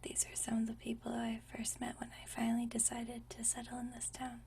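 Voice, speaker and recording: A woman playing a gentle, nostalgic century-old vampire in a whispered ASMR roleplay, speaking softly and intimately with unhurried, genteel old-fashioned phrasing. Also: fairly high pitch, monotone, far from the mic, slight background noise. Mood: quietly reminiscing. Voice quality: soft breathy whisper.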